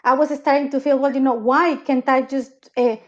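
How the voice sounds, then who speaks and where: A woman from Mexico talks earnestly on a discussion panel, stumbling over repeated words and tagging her points with "right?". Her voice sits high, and the recording sounds slightly dull and muffled. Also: fairly even intonation, normal speed. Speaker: panel speaker.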